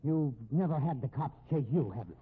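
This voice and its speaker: An actor playing a desperate fugitive brother in a 1950s radio drama, with hard-boiled slangy delivery on a muffled old recording. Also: male voice, on the high side, animated, a little fast, breathy edge. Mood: hard, defiant. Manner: slangy, hard-boiled tough-guy talk. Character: desperate, cornered fugitive brother, tough small-time crook.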